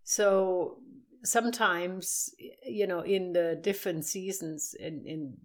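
An older woman, a native German speaker with a clear German accent, talks reflectively as an interview guest. Her voice sits low and her delivery is slow, with halting moments as she searches for words.

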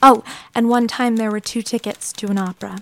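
A woman's voice: a little high, steady tone, brisk, creaky, a bit bright. A woman playing a genteel, kindly bride-to-be and office confidante in a period drama, chatty with hesitant asides. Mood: fond, chatty.

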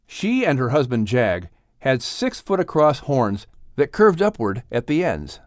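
A man's voice: medium pitch, big pitch swings, a little slow, dry recording, clean studio sound. A man narrating an audiobook story expressively, in a dramatic and earnest manner.

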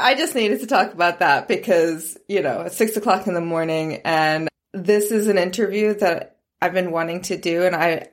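Woman podcast host, slightly low voice, candid and chatty, self-deprecating. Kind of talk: casual interview conversation.

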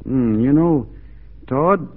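Easygoing cowboy, thin radio sound. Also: male voice, mid-range voice, narrow, tinny audio. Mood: folksy, easygoing. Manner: folksy, unhurried, plain. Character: easygoing, plain-spoken, steadfast Texan cowboy. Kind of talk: 1940s radio drama acting. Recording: thin band-limited 1940s radio recording.

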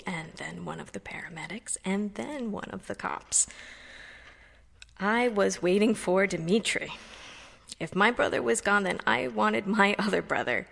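An actress playing a grieving, self-dramatizing young woman in an audio drama, speaking in a halting, rambling, emotional way at a memorial for her brother. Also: mid-range voice, vocal fry, a bit bright. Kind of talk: audio drama monologue, naturalistic confessional speech.

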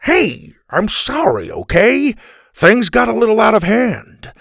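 Gruff old grandpa, played by a male narrator, huffing and urgent, lively pitch, creaky edge, storybook adventure. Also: takes it slowly, no echo, studio quality, exaggerated voice. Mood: defensive.

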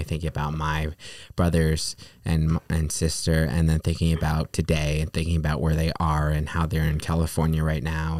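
A young man with a very low, deep voice talks casually, wandering through his thoughts with frequent "like"s and restarts. He's from New York. The sound is dry and close, with no room around it. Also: a little mellow, no room sound. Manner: rambling, full of "like" and restarts. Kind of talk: casual podcast chat.

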